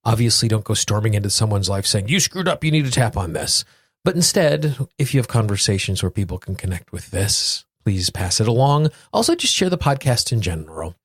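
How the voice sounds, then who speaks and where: A man hosting a solo podcast, speaking earnestly in deliberate phrases, repeating words for emphasis. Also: on the low side, very animated, husky, no room sound, studio quality. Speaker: podcast host. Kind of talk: solo podcast talk with guided affirmations.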